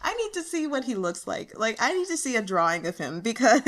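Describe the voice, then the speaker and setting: Animated, gushing woman chatting casually. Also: fairly high pitch, moderate pace. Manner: animated, gushing, giggly, expressive. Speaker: audiobook reader and host. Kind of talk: casual chatty book commentary.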